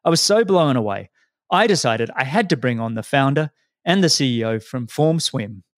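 A man's voice: medium pitch, lively intonation, moderate pace, dry recording, studio quality. A man hosting his podcast, chatting in a friendly, casual way and often repeating words as he stumbles. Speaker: podcast host. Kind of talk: casual interview chat.

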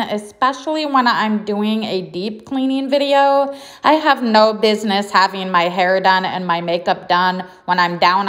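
A woman giving a casual, chatty voice-over as a home cleaning vlogger, talking informally. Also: fairly high pitch.

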